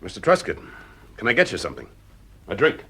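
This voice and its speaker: An actor playing a calm, persuasive private detective in an audio drama, with a husky voice. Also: male voice, on the low side, quick pace, heavily acted.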